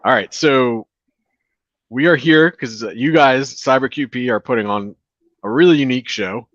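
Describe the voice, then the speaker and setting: A man hosting a casual video-call interview from a beach house, relaxed and chatty with filler words. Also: medium pitch, moderate pace, dry recording. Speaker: show host. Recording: dry, dull lo-fi audio.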